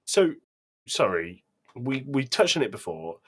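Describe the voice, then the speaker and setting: A man's voice: medium pitch, big pitch swings, a little slow, a bit bright, slightly rough. A man co-hosting a podcast, discussing films casually with emphatic, exasperated delivery. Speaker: podcast co-host.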